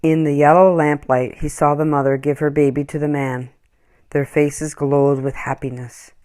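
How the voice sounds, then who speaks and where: A woman reading a children's story aloud in an expressive, acted-out storytelling style. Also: low-pitched, normal speed. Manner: animated, acts out dialogue and animal sounds. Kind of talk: expressive scripted storybook narration.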